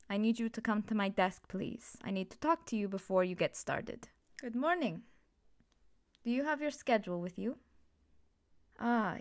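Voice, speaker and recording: A woman voicing a stern, authoritative professor of elemental magic in a measured ASMR fantasy roleplay. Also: mid-range voice, close to an everyday voice. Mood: composed. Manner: strict, measured, commanding.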